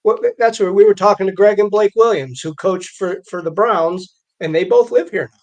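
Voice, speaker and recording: A man co-hosting a casual sports talk show, speaking haltingly as he repeats words and rephrases. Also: high-pitched.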